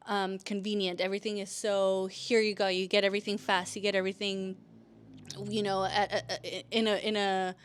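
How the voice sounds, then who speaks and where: A woman's voice: medium pitch, clear, resonant tone. A woman in her thirties, born in El Salvador and raised in California, chatting casually to camera in a vlog, with lots of fillers and restarts. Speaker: vlogger.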